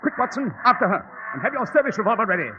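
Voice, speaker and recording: Through a tinny, hissing vintage radio recording comes a shrewd British detective. His pitch is fairly high and swings widely as he reasons with formal, precise authority. He sounds composed and observant in classic radio-play style. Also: big pitch swings, rapid-fire, loud music behind the voice. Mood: urgent, commanding. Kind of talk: old-time radio drama acting. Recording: tinny, band-limited old radio recording with hiss.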